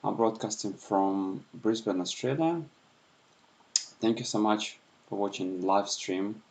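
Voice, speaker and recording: Soft-spoken, deep-voiced man with a Russian accent. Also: takes it slowly, vocal fry. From Ukraine. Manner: speaks softly with frequent hesitations. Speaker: YouTuber. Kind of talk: unscripted livestream talk. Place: home in the early morning.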